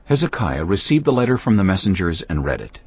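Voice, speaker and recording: Man, low voice, animated scripture narration, husky, scripted, slightly muffled. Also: fast talker, dry recording.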